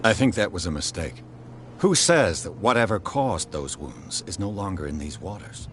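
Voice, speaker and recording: A man's voice: deep voice, lively intonation, moderate pace, fairly bright tone, a little hiss or hum. A man playing a serious investigator in a video game, terse and formal.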